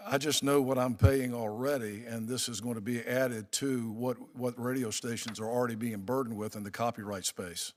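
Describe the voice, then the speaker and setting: A man giving formal testimony as an industry witness, answering questions in a guarded, halting way. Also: mid-range voice, fairly even intonation. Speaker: witness, radio industry representative, at a hearing.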